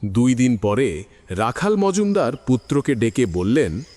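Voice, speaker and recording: A man narrating an audio drama in scripted, expressive storytelling. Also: mid-range voice, measured pace.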